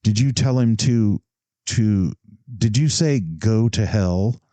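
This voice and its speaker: A man voicing a gentle, indulgent mother who scolds her son mildly and without severity. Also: on the low side, takes it slowly, no room sound, voice pushed up. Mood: gently reproving.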